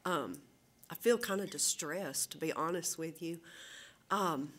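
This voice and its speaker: A woman with a Southern American accent speaks at a council meeting's public comment. Her voice sits a little low for a woman. She talks earnestly and pauses often with fillers as she finds her words. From Southern United States.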